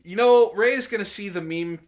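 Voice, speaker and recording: A man's voice: high-pitched, normal speed. A man hosting a book club stream, reading aloud and breaking into exasperated, incredulous asides in casual jokey banter.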